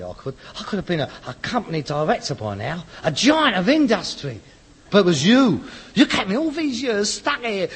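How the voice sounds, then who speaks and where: A man's voice: high voice, a bit bright, slightly rough, full character voice. An actor playing a frustrated, pretentious rag-and-bone man of about forty with a working-class London accent, exasperated, grandiose and sarcastic in a sitcom. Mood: bitter, grandiose. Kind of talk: scripted sitcom acting. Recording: reverberant hall, old sitcom recording.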